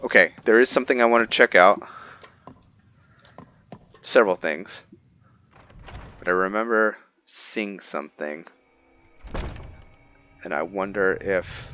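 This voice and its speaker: A man's voice: sits low, fairly bright tone, muffled recording. A man giving casual video game commentary as he plays, thinking aloud in a musing way. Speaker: gamer hosting a roleplay playthrough.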